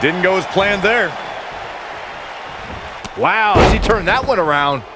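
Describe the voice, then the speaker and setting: A man playing an excitable play-by-play announcer in a wrestling video game, over a noisy arena. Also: high voice, takes it slowly. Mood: excited, amazed. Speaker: wrestling commentators. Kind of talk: excited sports commentary. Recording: noisy arena crowd behind.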